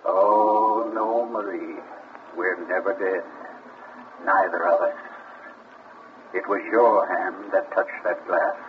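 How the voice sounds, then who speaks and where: A man's voice: low-pitched, steady tone, slow, pauses often. An actor playing a suave, sinister man who relishes poison, in 1940s radio acting style. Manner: urbane, relishing, theatrical. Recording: muffled 1940s radio broadcast with hiss.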